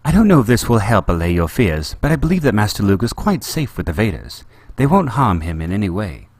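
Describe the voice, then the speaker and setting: A fussy, nervous male robot servant speaks in prim, formal phrases, voiced by a man reading a story. The voice sits well above the reader's own low register. The sound is close and dry. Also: very animated, brisk, dry recording. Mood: reassuring.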